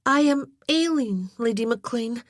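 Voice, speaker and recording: Woman doing a high, flustered young lass. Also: lively intonation, dry recording, studio quality. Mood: nervous, eager. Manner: breathless, rushed and apologetic. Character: flustered clumsy young noblewoman, tearful and eager.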